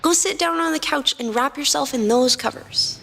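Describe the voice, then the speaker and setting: A woman's voice: sits high, moderate pace, creaky, fairly bright tone, pitched above the performer's own voice. A woman voicing a caring, bossy young girl in an audiobook-style story, exclaiming and instructing with urgency.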